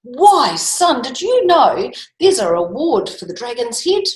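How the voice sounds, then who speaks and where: A woman voicing an excitable farmer father from a storybook, with an emphatic, raised delivery. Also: high voice, measured pace, pitched above the performer's own voice. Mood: eager. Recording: echoey room.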